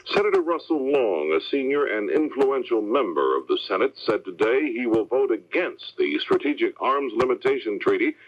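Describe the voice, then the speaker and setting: A man's voice: mid-range voice, very animated, normal speed, hardly any pauses, muffled recording. An American man anchoring a scripted 1970s network radio newscast, heard on a vintage AM recording.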